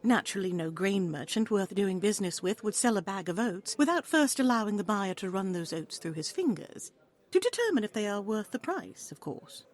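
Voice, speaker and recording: A woman's voice: medium pitch, expressive, fairly quick, close to an everyday voice. Bold, wily seductress played by a woman, coaxing. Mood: enticing. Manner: coaxing, sly, formal archaic speech. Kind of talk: audio play acting with archaic English.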